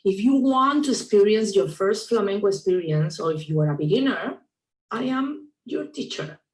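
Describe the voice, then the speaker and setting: A woman's voice: mid-range voice, lively intonation, normal speed, darkish tone. A woman flamenco teacher speaking in an inviting, enthusiastic way in a promotional video. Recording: echoey room.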